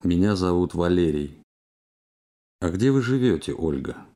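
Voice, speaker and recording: A man reading scripted phrases and questions for a language course, slowly and with careful, clear enunciation. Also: deep voice, lively intonation, mellow.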